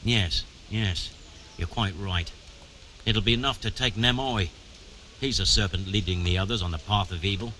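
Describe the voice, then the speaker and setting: An actor playing a stern, middle-aged medieval mining chief in a video game, brusque and commanding, with formal wording. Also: male voice, on the low side, normal speed, bright, forceful tone, a little hiss or hum. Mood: conceding, grim. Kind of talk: scripted video game dialogue. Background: music.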